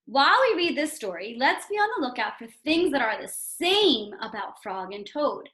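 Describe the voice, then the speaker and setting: A woman's voice: high-pitched, sing-song, moderate pace, pushed and bright. A woman teacher giving a read-aloud lesson for young children, instructive and emphatic, often checking in with a questioning tag. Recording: roomy, echoing sound.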